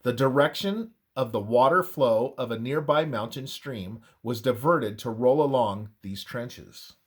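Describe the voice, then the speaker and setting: A man reading a scripted historical and religious narration aloud in a measured, deliberate way with emphatic phrasing. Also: mid-range voice, breathy edge.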